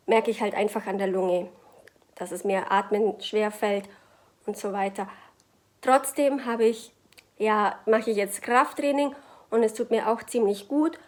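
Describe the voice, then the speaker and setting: A woman's voice: a little high, a little mellow. A woman giving a casual personal testimonial. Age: 20s. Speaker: patient sharing personal experience.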